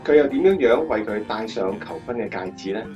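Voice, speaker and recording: A man's voice: fairly low pitch, lively intonation, brisk, warm tone, distant mic, natural voice. Actor as earnest male interviewer, muffled. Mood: admiring. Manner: earnest, presenterly. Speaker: interviewer and narrator. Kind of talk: scripted radio drama narration. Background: guitar music.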